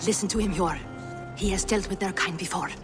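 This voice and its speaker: A woman's voice: medium pitch, fairly quick, music in the background, lightly acted. An actress playing an earnest, loyal, worried Norse woman in a video game cutscene. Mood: earnest, firm.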